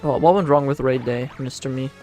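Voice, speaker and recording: Young Dutch-accented male streamer, easygoing and slangy, mid-pitched voice, soft music underneath. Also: fairly quick. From Netherlands. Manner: laid-back and slangy, talks to himself. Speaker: game streamer. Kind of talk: casual livestream chat, answering chat while playing.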